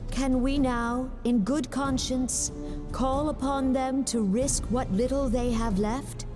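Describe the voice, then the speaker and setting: A grave, caring female leader in a fantasy game. The voice sits fairly high and moves very slowly, with solemn, formal phrasing. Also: fairly even intonation, over music. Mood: sorrowful, grave. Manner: solemn, deliberate, formal oratory.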